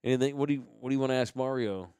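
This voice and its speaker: An American man hosting a podcast with casual banter and sponsor shout-outs, talking in a slangy, rambling, profane way. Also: medium pitch, rapid-fire, clear, resonant tone, dry recording, clean studio sound. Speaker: host of a live podcast.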